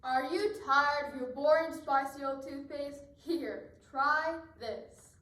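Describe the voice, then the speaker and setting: A woman's voice: high-pitched, slow, a bit bright, recorded from a distance. A woman playing an upbeat advert announcer in an amateur parody radio commercial. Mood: salesy, cheery. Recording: echoey room, off the mic.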